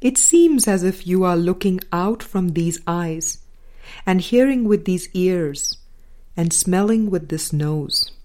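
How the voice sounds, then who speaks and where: A woman reading a spiritual book aloud as scripted narration, in measured, deliberate phrases with pauses. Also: fairly low pitch, animated, darkish tone. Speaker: audiobook reader.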